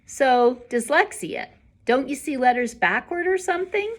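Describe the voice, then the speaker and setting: A woman voicing a snooty, scornful schoolgirl bully with a sharp, disdainful manner in a storybook reading. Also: sits high, animated, measured pace, voice pushed up. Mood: snide.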